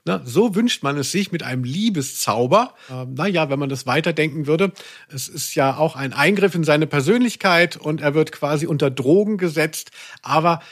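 A man's voice: a little high, lively intonation, moderate pace. A man hosting a podcast in a reflective, conversational style, earnest and analytical with some playful irony. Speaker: podcast host.

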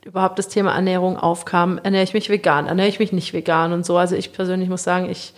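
A woman's voice: fairly low pitch, level tone, fast. A woman hosting a casual, chatty interview in a colloquial way, often with amusement, as a former athlete who grew up bilingual. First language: bilingual German and Spanish. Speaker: interview host; former professional handball player.

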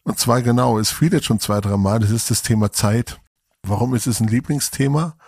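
A German man in his forties co-hosting a podcast, talking in a relaxed, reflective way with some fillers. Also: medium pitch, fairly quick, breathy edge, dry recording, studio quality. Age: 40s. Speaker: podcast co-host. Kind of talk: casual podcast conversation.

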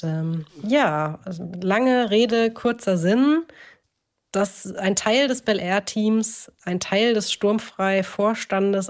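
A woman co-hosts a relaxed podcast chat. She has a medium-pitched voice and talks in a chatty, informal way. She often breaks off into joking asides before picking up her thread again. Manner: chatty, self-interrupting, joking. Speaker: podcast co-host. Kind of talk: casual chat. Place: indoors, remote connection with own microphone setup. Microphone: own clamped desk microphone.

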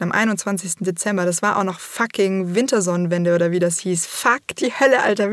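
A slightly hoarse woman hosting a podcast, talking openly and casually about her own life, swearing freely and filling pauses with "ähm". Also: medium pitch, no echo, clean studio sound. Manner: open, casual, swears freely, many fillers. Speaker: podcast host. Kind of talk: candid personal monologue.

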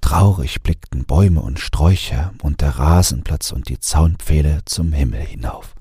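Deep mellow guy reading a bedtime tale, really dry close sound, gentle and measured. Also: husky, dry recording. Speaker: audiobook narrator. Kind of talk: scripted bedtime story narration.

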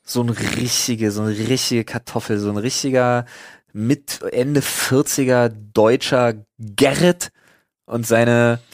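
A man's voice: mid-range voice, steady tone, takes it slowly, dry recording. Casual slangy man, dry close recording. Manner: slangy, swearing, mock-exasperated. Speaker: podcast host. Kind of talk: casual chat, storytelling.

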